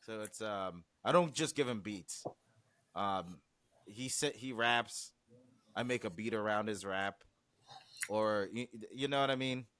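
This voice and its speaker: A man's voice: on the low side, a little slow, fairly bright tone, smooth, no room sound. A man whose first language is Swahili, a music producer talking animatedly in a casual interview, often trailing off and restarting.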